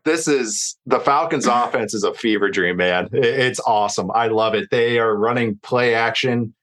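A man's voice: medium pitch, moderate pace, fairly bright tone. A man giving casual, enthusiastic football analysis as a podcast guest.

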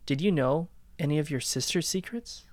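A man acting a cold, gloating killer who calmly taunts his ex in a dark audio drama. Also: a little high, no room sound, close to an everyday voice. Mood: probing, cold. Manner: casual, calmly taunting, unhurried menace.

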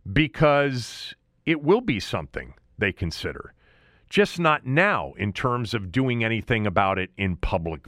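A man hosting a sports talk show, giving opinionated commentary in deliberate, emphatic phrases with points repeated for weight. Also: medium pitch, a bit bright, no room sound, clean studio sound. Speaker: sports talk podcast host.

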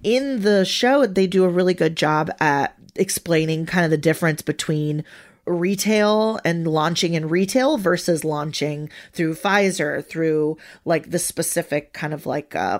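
A woman co-hosting a podcast, explaining facts in a steady run in casual chat. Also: sits low, normal speed. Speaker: podcast co-host.

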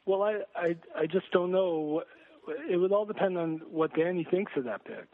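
A man speaking as a guest sports journalist in an interview, hesitant and measured as he talks. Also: high voice. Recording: thin phone-like line.

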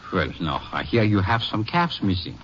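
Indignant German farmer, halting broken English, very animated intonation, 1950s radio drama, noisy old recording. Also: male voice, sits low, highs cut off, exaggerated voice. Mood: hurt, indignant. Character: indignant German immigrant farmer, flustered and defensive.

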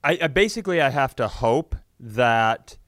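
A man chatting casually as a comedy podcast co-host, telling self-mocking, sweary stories in an animated way. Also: medium pitch, moderate pace, no echo, studio quality.

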